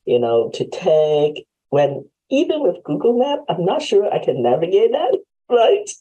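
A woman's voice: on the low side, wildly swooping pitch, unhurried, dark tone, husky, muffled recording. A woman in her forties from Hong Kong hosting an interview, friendly and reflective, laughing often as she talks. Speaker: host. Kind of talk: casual interview chat. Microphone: video call.

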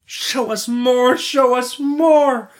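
A man voicing excitable, anxious townspeople in a storybook, crying out in urgent exclamations. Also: very high-pitched, very slow, pitched above the performer's own voice, exaggerated voice. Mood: excited.

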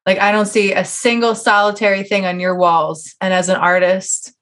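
A woman hosting a podcast, talking in a casual, informal and teasing conversational way with animated, slangy phrasing. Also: medium pitch, normal speed. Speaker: podcast host and tattoo artist. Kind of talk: casual interview chat.

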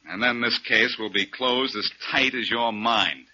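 An actor playing a confident, wisecracking amateur sleuth who needles and insists, in 1940s radio drama style. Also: male voice, mid-range voice, measured pace, heavy background noise, natural voice. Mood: insistent, needling. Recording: muffled vintage 1940s radio recording.

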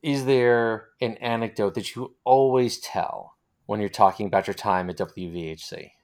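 A man hosting a casual interview, speaking in halting phrases with repeated words. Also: fairly low pitch. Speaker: interviewer, host.

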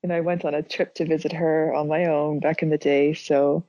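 A young woman from Zimbabwe, raised speaking Dutch, telling stories in a relaxed conversation, reflective and full of small restarts. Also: low-pitched, normal speed, no room sound, lo-fi sound. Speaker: interviewee. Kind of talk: casual conversation.

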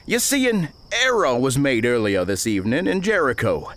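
A man's voice: medium pitch, big pitch swings, moderate pace, fairly bright tone, pitched above the performer's own voice. A man voicing a pompous, long-winded older banker on the frontier, with flowery and ingratiating speech.

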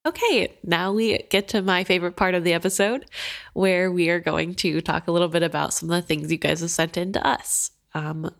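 A woman's voice: on the low side, fairly even intonation, fairly quick, vocal fry. A young woman co-hosting a casual book podcast, stuffy from allergies and laughing often between chatty, filler-heavy remarks. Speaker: podcast co-host.

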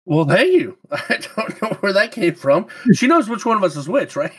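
A man's voice: high-pitched, big pitch swings, normal speed, fairly bright tone, breathy edge. Defensive joking man. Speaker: contestant. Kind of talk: comedy panel game chat.

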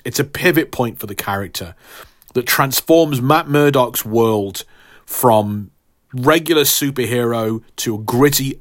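A man hosting a podcast, talking straight to listeners in an emphatic, mock-grandiose way, casual but partly scripted. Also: medium pitch. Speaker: podcast host.

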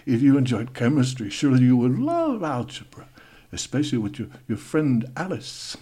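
An actor playing a formal, awkward young male Spanish exchange student in an audio drama, with a Spanish accent and a halting manner. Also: mid-range voice, very animated, dark tone, breathy edge. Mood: stiffly charming.

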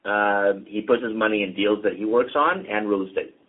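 A man's voice: lowish voice, normal speed. A middle-aged Canadian man, a real estate executive, chatting as an interview guest with lots of ums and uhs. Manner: relaxed, many ums and uhs. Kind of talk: casual interview conversation. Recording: tinny phone-like line.

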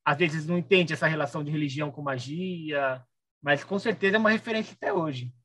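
A man's voice: a little high, fast talker, a bit bright, no room sound. A Brazilian-accented man co-hosting a casual podcast chat with chatty asides. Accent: Brazilian Portuguese. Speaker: podcast co-host.

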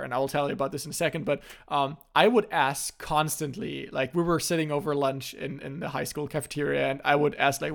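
A young man from Germany, casually telling stories as a podcast host, in a relaxed way with frequent restarts. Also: on the high side, creaky.

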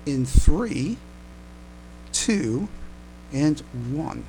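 A man's voice: fairly high pitch, big pitch swings, extremely slow, mellow, a little hiss or hum, poor audio. A man hosting a casual fan podcast, chatting hesitantly and often stammering and repeating his words. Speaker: podcast host.

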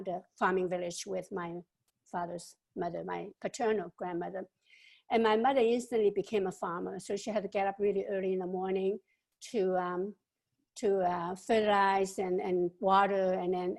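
Older woman with gravelly voice. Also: mid-range voice, a little mellow. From China. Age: 70s. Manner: halting, with many fillers and repeated words. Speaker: interviewee. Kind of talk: reflective life-story interview answer.

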